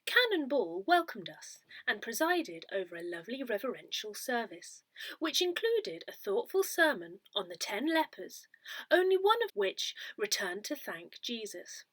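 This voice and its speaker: A woman narrates a written newsletter with careful, measured phrasing. Her voice sits a little high and moves through a lively range of pitch, giving expressive weight to key words. The sound is dry and close, with very little room around it. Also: no room sound. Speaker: volunteer reader of an audio parish newsletter. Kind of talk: read-aloud newsletter narration.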